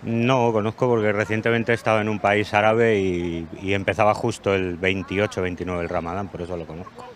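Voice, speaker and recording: A man in his forties on a city street, calmly explaining into a handheld mic. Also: fairly low pitch, brisk. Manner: calm, informed explanation. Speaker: passer-by. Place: city street by hedges, outdoors. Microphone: handheld mic held by interviewer.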